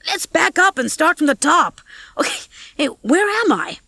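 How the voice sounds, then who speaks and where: A woman's voice: sits high, very animated, moderate pace, sharp tone, no room sound, studio quality, pitched above the performer's own voice, exaggerated voice. A woman voicing a brash, smug young American male rooster in a storybook, breezy and cocky. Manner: cocky, breezy, stammers when flustered.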